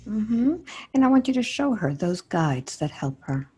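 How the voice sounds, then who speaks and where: Slow, soothing woman guiding hypnosis. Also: mid-range voice, breathy edge. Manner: soothing, gently prompting and affirming. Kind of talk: guided hypnosis session. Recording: steady noise, USB microphone.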